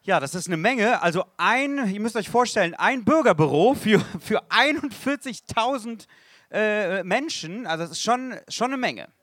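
A man's voice: sits high, lively intonation, moderate pace, fairly bright tone, no echo, clean studio sound. A man whose first language is Italian, hosting a panel and talking in a rambling, hesitant way. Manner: rambling, hesitant, searches for words. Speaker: show host. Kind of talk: live panel talk.

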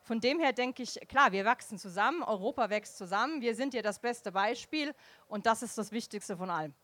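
Frank woman guest, high-ish voice. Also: lively intonation, fairly quick, a bit bright. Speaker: guest diplomat. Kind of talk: stage conversation.

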